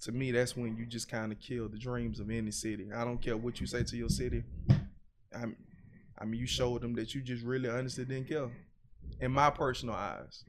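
A man from Buffalo holds forth as a podcast guest, blunt and profane, piling one point on top of the next. His voice sits at an everyday middle pitch, and he tells his stories with lively, animated swings. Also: a little fast. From Buffalo, New York. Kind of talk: casual podcast conversation.

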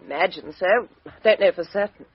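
An actress playing a calm, matter-of-fact young woman questioned by police in a 1950s radio drama. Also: lowish voice, fast, slightly rough. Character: composed young Englishwoman, victim's flatmate. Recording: dry, dull lo-fi old radio recording.

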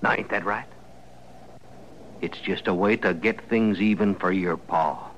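An actor playing a sly, folksy outlaw prisoner in a radio western, coaxing and menacing in a rural American accent. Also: male voice, low-pitched, a little slow, muffled recording. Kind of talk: old-time radio western acting. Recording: noisy vintage radio recording.

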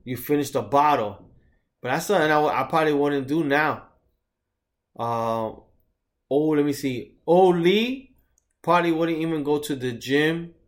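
A man's voice: fairly high pitch, normal speed, long pauses. A man in his thirties co-hosting a podcast, telling laid-back stories in casual chat and swearing now and then. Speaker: podcast co-host.

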